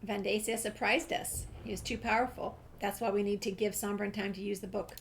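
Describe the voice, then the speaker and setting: Female gameplay streamer, medium pitch, casual walkthrough asides and read-aloud dialogue, slight background noise. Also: level tone, a little fast, gravelly, low-quality recording.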